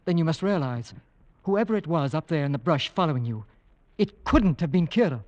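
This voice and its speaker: An actor playing an earnest, driven and protective husband on a hunt, in intense theatrical 1940s radio drama style. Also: male voice, a little high, animated, brisk, darkish tone, lightly acted. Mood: anxious pleading. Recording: dull old radio broadcast recording.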